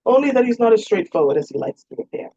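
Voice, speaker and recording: Woman reading as middle-aged viscount, courtly, shrewd, formal, husky, dull recording. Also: a little high, big pitch swings, dark tone, voice pushed up. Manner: formal, measured. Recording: roomy, dull lo-fi sound.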